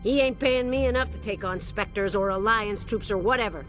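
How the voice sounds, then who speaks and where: A woman's voice: medium pitch, normal speed, soft background music, lightly acted. A woman voicing a wary corporate security guard in a video game, brusque and informal. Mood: wary, bargaining.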